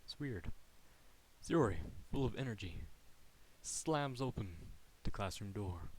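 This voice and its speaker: A man's voice: lowish voice, expressive, slow, slightly rough, low-quality recording. Giggly male streamer with an Irish-tinged lilt. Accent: slight Irish-sounding lilt. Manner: giggly, rambling, self-interrupting. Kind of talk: live game stream, reading the script aloud. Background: game music.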